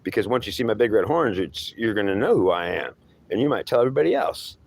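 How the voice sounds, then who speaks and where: A man's voice: fairly low pitch, big pitch swings, fast, creaky, darkish tone, slight background noise. Man playing a scornful, menacing horned devil. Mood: threatening, scornful. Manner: scornful, goading, repetitive lecturing. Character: taunting, menacing horned devil claiming to be God.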